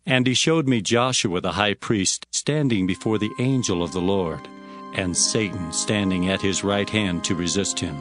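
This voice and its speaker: A man narrating dramatized scripture with an expressive storytelling delivery. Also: deep voice, moderate pace, faint music behind.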